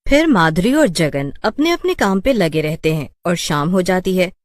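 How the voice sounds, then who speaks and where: A woman reads a scripted story as narrator. Her voice sits a little low for a woman. The recording is very dry, with no room sound. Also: lively intonation, normal speed, dry recording.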